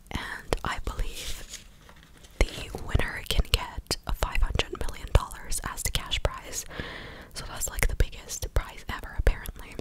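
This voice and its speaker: A woman in her twenties whispering close to the mic in a breathy, casual, chatty ASMR style. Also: poor audio. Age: 20s. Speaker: ASMR video host. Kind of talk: whispered ASMR news recap. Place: small living room indoors. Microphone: large desk condenser mic, very close.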